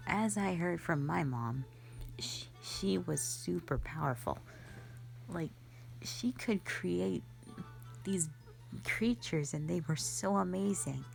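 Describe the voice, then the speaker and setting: A woman's voice: fairly low pitch, creaky, darkish tone, full character voice. A woman playing a rambling, easygoing, flirty magic mentor in a fantasy roleplay audio drama, talking in a hesitant, offhand way full of asides.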